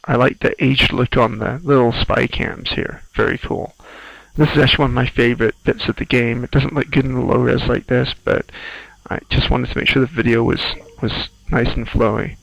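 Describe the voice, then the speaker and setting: A man giving casual, rambling playthrough commentary in an informal, halting, musing way. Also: mid-range voice, fairly even intonation, vocal fry, a bit bright, husky, low-quality recording. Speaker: screenwriter doing game playthrough commentary.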